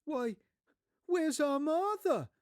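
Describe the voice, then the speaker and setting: Man acting a tender, high-voiced family man. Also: animated, takes it slowly, darkish tone, dry recording, studio quality, heavily acted. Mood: dismayed. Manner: tender, earnest. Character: tender, affectionate working-class father, earnest and emotional. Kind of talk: theatrical audiobook character acting.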